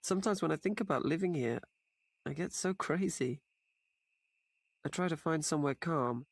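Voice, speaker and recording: A man telling his story for a charity video, talking quietly and sadly. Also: a little high, normal speed, creaky, no room sound. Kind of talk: subdued personal testimony. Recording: dry clip played from a video.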